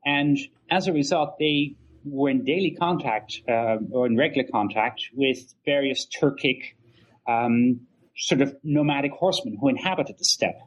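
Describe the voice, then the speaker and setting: A middle-aged man, an academic guest in an interview, talking conversationally in wordy, halting and measured phrases. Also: medium pitch, highs cut off. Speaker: history professor and author, interview guest.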